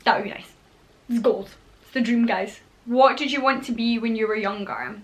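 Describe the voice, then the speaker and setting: A woman's voice: fairly high pitch, moderate pace, low-quality recording. A Scottish woman in her thirties chatting casually to camera as a vlogger, bubbly and chatty, with playful whispered asides. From Scotland. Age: 30s.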